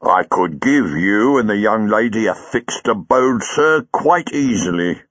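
A man's voice: lowish voice, animated, unhurried, a bit bright, no echo, highs cut off. A man voicing a patient, stolid Victorian police sergeant. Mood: dogged, patient.

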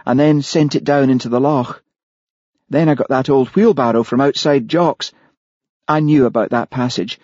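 A man's voice: mid-range voice, a little mellow, dry recording, highs cut off, voice pushed up, natural voice. A man voicing a woman who confesses to murder, unrepentant and matter-of-fact, in an audiobook. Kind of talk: audiobook character voice.

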